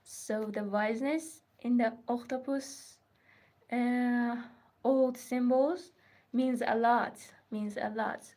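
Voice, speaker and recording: Slow-talking Persian-accented woman. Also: fairly high pitch, fairly even intonation, a little mellow. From Iran. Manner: halting, simple non-native phrasing, repeats words. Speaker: artist hosting a crafting livestream. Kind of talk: casual livestream chat. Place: her studio.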